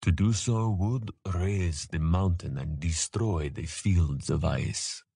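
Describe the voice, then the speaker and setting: Guy doing an old dragon for a storybook, gravelly, super slow and formal, deep and close up dry. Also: mellow, no echo, exaggerated voice. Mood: solemn, regretful. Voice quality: gravelly, tight with disuse. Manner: slow, formal, weighty delivery.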